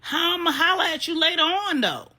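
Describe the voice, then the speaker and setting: A woman's voice: extremely high pitch, lively intonation, normal speed, bright, forceful tone, voice pushed up, exaggerated voice. A woman storyteller voicing a cocky, tough ex-convict man with slangy, laid-back bravado. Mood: provoked, teasing.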